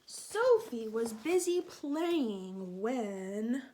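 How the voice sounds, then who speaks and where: Slow-paced woman reading aloud to children. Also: a little high, sing-song, warm tone, low-quality recording. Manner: patient teacherly explaining, pausing for answers. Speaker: teacher reading a picture book aloud to young children. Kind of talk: children's read-aloud with questions to listeners.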